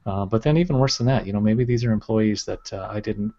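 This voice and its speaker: A man's voice: on the low side, fast. A middle-aged man, a school administrator, talking steadily in a casual interview with many fillers. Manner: steady, filler-heavy with many "you know"s. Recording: dull lo-fi sound.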